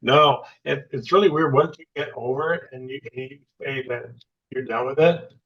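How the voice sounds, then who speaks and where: An older man in casual chat as a podcast guest, halting, often stumbling and repeating his words. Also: medium pitch, a bit bright. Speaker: former baseball player, podcast guest. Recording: distant, lo-fi mic sound.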